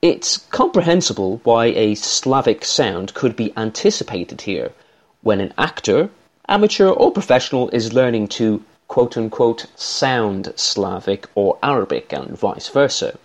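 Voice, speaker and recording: A man with an Irish accent giving scripted, measured analytical commentary as a voice analyst. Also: mid-range voice, darkish tone.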